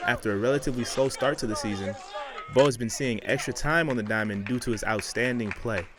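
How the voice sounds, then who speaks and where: A young man reading a scripted sports feature voice-over as student reporter, in a measured, dramatic delivery. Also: medium pitch, a crowd in the background. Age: young adult.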